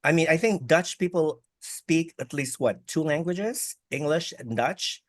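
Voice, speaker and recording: A man's voice: on the high side, unhurried, no room sound. Rambling male interviewer, dry sound. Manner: rambling, repeats words, friendly and joking. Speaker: interviewer, host. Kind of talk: casual interview chat. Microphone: video call.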